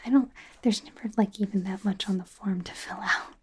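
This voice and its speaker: A woman's voice: mid-range voice, brisk, very noisy, lightly acted. A woman playing a nervous, friendly female werewolf doctor in a whispered ASMR roleplay, hesitant, stammering and apologetic. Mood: chatty, reassuring. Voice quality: soft, breathy whisper.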